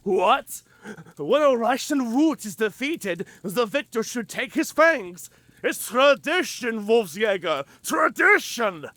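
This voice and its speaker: Proud, animated werewolf outcast. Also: male voice, very high voice, slow, no room sound, heavily acted. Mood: indignant excitement. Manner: theatrical, emphatic, inquisitive. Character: proud, curious male werewolf outcast, devoted to pack tradition. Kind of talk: cinematic audio roleplay acting.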